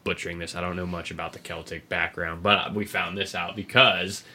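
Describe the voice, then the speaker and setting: A man hosting a podcast, explaining video game lore in a casual, rambling, sweary way, with a gravelly, husky voice. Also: deep voice, level tone, brisk, sharp tone. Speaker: podcast host.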